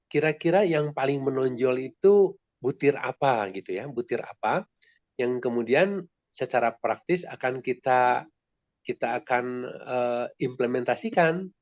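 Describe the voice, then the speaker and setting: A man's voice: mid-range voice, measured pace, no room sound. Nasal congested man, polite rambling questioner, muffled dry call audio. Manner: polite, rambling, many restarts. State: nasal and congested from a sore throat. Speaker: discussion participant. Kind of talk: online book discussion. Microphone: video call.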